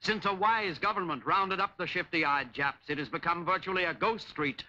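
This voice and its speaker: An actor as a stern, declamatory narrator reading scripted 1940s newsreel-style narration. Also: male voice, high-pitched, normal speed, pushed and bright. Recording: dull 1940s film soundtrack.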